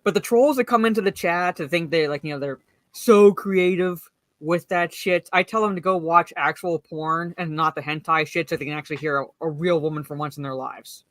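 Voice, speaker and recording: Low-voiced woman rambling in casual chat. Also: brisk, no room sound. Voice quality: slightly deep voice for a woman. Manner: rambling, many restarts and "like" fillers. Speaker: podcast host.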